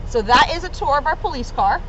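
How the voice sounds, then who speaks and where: Woman officer, informal kids' read-aloud and demo presenter, higher-pitched voice, slightly muffled recording with faint noise. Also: animated, a little fast, fairly bright tone. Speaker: police officer reading to children and showing police equipment. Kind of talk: story read-aloud and informal explainer for children. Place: in and around a police car. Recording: muffled, low-grade audio with faint noise.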